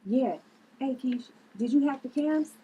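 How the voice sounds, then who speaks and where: A brash, bossy vigilante, played by a woman at a table read, talking in blunt street slang. Her voice is on the high side, over faint noise. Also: normal speed, phone-quality sound, close to an everyday voice. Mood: determined.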